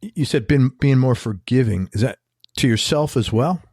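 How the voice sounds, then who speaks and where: A man in his fifties hosting a casual interview, relaxed and loose-tongued, with a voice that sounds younger than his age. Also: medium pitch, moderate pace, breathy edge, dry recording, clean studio sound. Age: 50s. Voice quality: youthful-sounding voice. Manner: relaxed, swears freely, calls guest "man" and "bro". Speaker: interview host.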